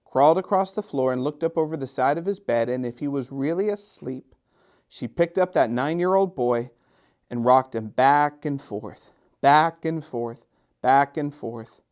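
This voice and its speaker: A middle-aged man reads a picture book to children expressively, drawing out repeated phrases with gentle, dramatic emphasis. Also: medium pitch. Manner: gentle, drawn-out, sing-song repetitions with dramatic emphasis. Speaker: storytime host and children's author.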